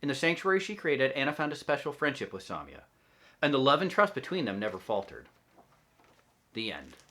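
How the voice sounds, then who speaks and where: A man giving an expressive, clearly phrased read-aloud of a children's storybook. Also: mid-range voice, brisk. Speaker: volunteer storybook reader for a wildlife nonprofit.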